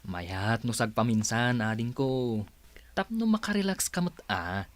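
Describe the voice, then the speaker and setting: A gentle, advising older brother, as an actor plays him. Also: male voice, medium pitch, expressive, measured pace, natural voice. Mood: concerned. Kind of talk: radio drama acting.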